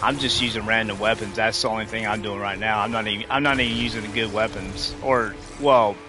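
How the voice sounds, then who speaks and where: Forties male streamer, lowish bright voice, rambly and casual, faint music behind. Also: fairly even intonation, fairly quick. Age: 40s. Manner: rambling, restarts sentences often. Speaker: livestreamer talking to chat. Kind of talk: casual chat while playing.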